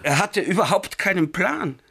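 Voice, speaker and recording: An actor playing a chatty, wry ex-safecracker in a radio crime play, telling a story. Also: male voice, high voice, big pitch swings, moderate pace, creaky, a bit bright, exaggerated voice. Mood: wry recounting. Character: chatty male ex-safecracker recounting a night escapade, wry.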